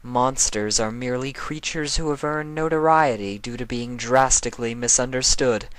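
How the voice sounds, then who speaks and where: A man's voice: mid-range voice, moderate pace, a bit bright, clear, resonant tone, no echo, pitched above the performer's own voice, close to an everyday voice. A man voicing a proud, haughty alicorn queen villainess with an imperious, theatrical delivery in a fan fiction reading.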